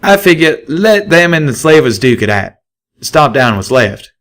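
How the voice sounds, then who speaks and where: Guy doing a loud twangy country pony dude, blunt, storybook reading. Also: mid-range voice, big pitch swings, normal speed, voice pushed up. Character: gruff country stallion pegasus, blunt and steady.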